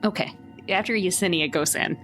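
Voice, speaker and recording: A woman's voice: lowish voice, fairly quick, a bit bright, faint music behind. A woman who plays tabletop roleplaying games, talking in a casual, joking style. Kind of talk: casual, joking game chat.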